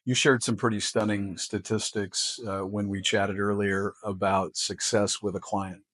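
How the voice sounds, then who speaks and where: A man's voice: lowish voice, little pitch movement, a little slow, fairly bright tone, dry recording. A man hosting an interview, asking questions in a conversational style. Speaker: interview host.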